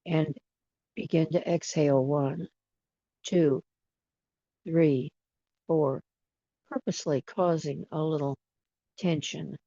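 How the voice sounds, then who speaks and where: A person leading a guided imagery meditation in a soothing manner, with long pauses between short phrases. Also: mid-range voice, fairly even intonation, creaky, dark tone. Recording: dull, dry lo-fi recording.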